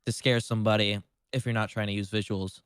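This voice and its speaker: A man's voice: lowish voice, little pitch movement, quick pace, smooth, dry recording, clean studio sound. A man in casual livestream chat as co-host, rambling with lots of "like" and casual swearing. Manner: rambling, heavy use of "like"; casually foul-mouthed.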